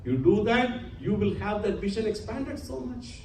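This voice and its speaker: A man's voice: sits high, moderate pace, a little mellow. Man lecturing in an echoey hall. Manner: earnest, emphatic, sprinkles Sanskrit terms. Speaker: spiritual lecturer. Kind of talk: religious lecture to a congregation. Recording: echoey hall, distant mic, faint hum.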